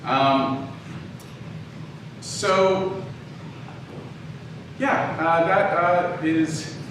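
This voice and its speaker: A man giving an informal public lecture in a conversational, halting way, often restarting his phrases. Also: on the high side. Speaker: lecturer, audio drama producer. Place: indoor room talk before an audience. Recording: very echoey room, distant mic, noisy.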